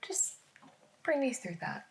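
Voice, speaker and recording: A woman playing a calm, patient detective in a soft-spoken ASMR roleplay, often dropping into a whisper as she gently probes and reassures. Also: a little slow, noisy background, close to an everyday voice. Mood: patient, reassuring.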